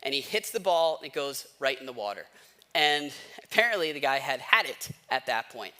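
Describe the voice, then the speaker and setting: An American man giving a conversational sermon as a pastor, emphatic, repeating key phrases with pauses. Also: on the high side, bright, forceful tone. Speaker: pastor preaching to a congregation.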